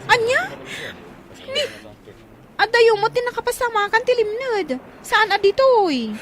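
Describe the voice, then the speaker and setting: A woman's voice: very high-pitched, animated, a little slow. A woman with an Ilocano accent playing an inquisitive village woman at a pier. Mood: curious.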